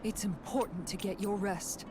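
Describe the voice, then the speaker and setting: A woman voicing an earnest, idealistic young guardswoman in a video game, speaking sincerely and gently. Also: medium pitch, fairly even intonation, normal speed, natural voice. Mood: caring. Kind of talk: scripted video game dialogue. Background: game music.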